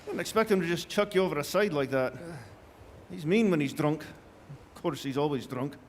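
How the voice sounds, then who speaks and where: An actor playing a kindly gentleman boatman, hearty, chummy and urging, in a theatrical live audio drama. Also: on the high side, a little fast, slight background noise, natural voice. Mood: hearty, reassuring.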